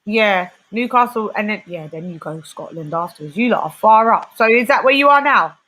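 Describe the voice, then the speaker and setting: Bright-voiced London woman chatting. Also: mid-range voice, animated, moderate pace, rough recording. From West London. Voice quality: chatty, reading out comments. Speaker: livestream host. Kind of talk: casual chat.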